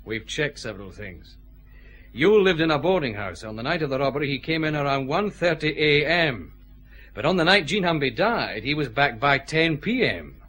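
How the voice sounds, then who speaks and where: An actor with a Scottish accent playing a shrewd, methodical detective chief inspector who lays out his accusations deliberately in a radio drama. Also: a little high, sing-song, fairly bright tone. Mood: probing. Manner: measured, accusing, deliberate interrogation.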